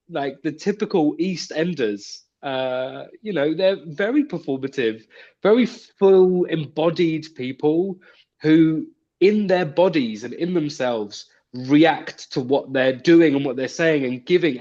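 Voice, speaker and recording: A man's voice: fairly high pitch, darkish tone, muffled recording. A man, a guest on a video call, speaking in a hesitant, thoughtful way with many fillers. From East End of London. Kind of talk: reflective conversation.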